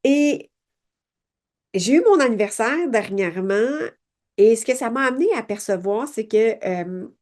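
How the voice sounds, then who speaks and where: A woman's voice: a little high, lively intonation, a little slow, a little mellow. A woman hosts a podcast, speaking in an earnest, searching way with many fillers and rhetorical questions. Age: late 40s. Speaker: podcast host. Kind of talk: reflective personal monologue.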